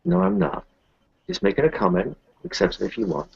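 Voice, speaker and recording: A man's voice: on the high side, big pitch swings, fairly quick, creaky, a little mellow, breathy edge, dull audio, pitched above the performer's own voice, exaggerated voice. A man playing a blunt, sceptical male werewolf healer in a casual tabletop roleplaying game. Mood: wry.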